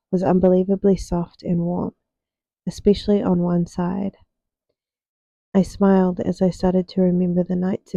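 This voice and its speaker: A young woman reading her own fiction aloud as soft ASMR narration, unhurried, with deliberate pauses. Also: on the low side, no echo, highs cut off.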